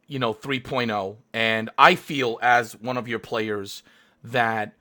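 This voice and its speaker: A man hosting a live stream and interviewing a guest, energetic and hyped, full of fillers and restarts. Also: mid-range voice, slow.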